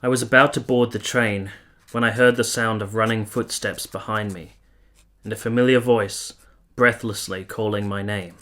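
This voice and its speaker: An actor playing a blunt but soft-hearted nineteenth-century gentleman in a radio drama, with formal phrasing. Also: lowish voice, fairly even intonation, moderate pace, natural voice. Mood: reflective narration. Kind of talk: period radio drama acting.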